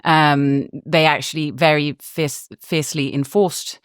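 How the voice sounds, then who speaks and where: A woman's voice: low voice, steady tone, measured pace, smooth, dry recording, clean studio sound. A woman guest reporter explaining things in a casual podcast chat, with lots of fillers, restarts and easy laughs. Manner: chatty, laughs often, many fillers and restarts.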